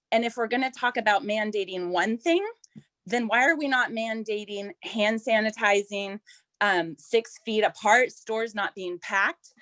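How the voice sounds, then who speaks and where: A woman's voice: medium pitch, a bit bright, no room sound. Lady losing her voice, earnest public comment, dry sound, kinda hesitant. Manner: earnest, questioning, with restarts and laughs. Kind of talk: public comment at a council meeting.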